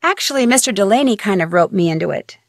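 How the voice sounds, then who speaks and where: A young woman with an American accent playing a chatty, curious, level-headed amateur detective in a video game, speaking conversationally with clear diction. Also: mid-range voice, expressive, quick pace, dry recording, clean studio sound, natural voice. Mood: chatty, explaining. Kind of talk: video game voice acting, conversational phone-call dialogue.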